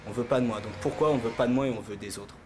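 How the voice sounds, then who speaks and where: A man with an average-pitched voice answers casually in slangy speech. He pauses and repeats phrases as he finds his words. There is faint noise around him. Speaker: street interviewee. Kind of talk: casual, slangy interview talk.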